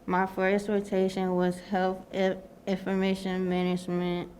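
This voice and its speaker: A nineteen-year-old woman introducing herself as an intern in a matter-of-fact, steady way. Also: mid-range voice, unhurried, a little hiss or hum. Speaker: program intern. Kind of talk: prepared self-introduction.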